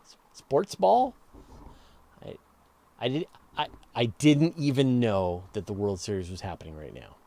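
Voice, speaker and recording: Mellow-voiced man explaining things casually. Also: on the low side, lively intonation, unhurried, vocal fry, long pauses. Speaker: host of a live space and astronomy Q&A show. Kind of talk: casual explanatory Q&A, answering viewer questions off the cuff.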